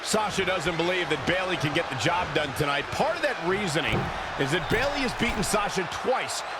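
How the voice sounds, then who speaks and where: A man's voice: high-pitched, animated, a little fast. A man performing as a wrestling play-by-play announcer in a video game, calling the action as live sports commentary. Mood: measured. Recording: loud arena crowd and impact noise.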